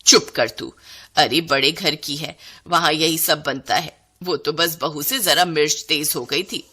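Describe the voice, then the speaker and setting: A woman's voice: low-pitched, fairly even intonation, unhurried, pushed and bright, breathy edge, pitched below the performer's own voice, exaggerated voice. A woman voicing an exasperated middle-aged Indian mother-in-law in a cartoon story, scolding and fretting with dramatic exclamations.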